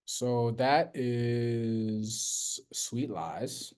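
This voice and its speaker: A man co-hosting a podcast in casual chat, talking in a relaxed, drawn-out way. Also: medium pitch, smooth. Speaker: podcast co-host.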